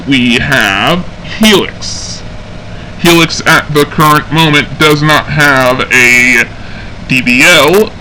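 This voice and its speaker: A man playing an officer of a sci-fi police engineering unit, giving a halting, methodical, official briefing in an amateur audio log. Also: medium pitch, sharp tone, slight background noise, poor audio. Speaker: roleplay game server member recording an audio log. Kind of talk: semi-scripted in-character briefing log.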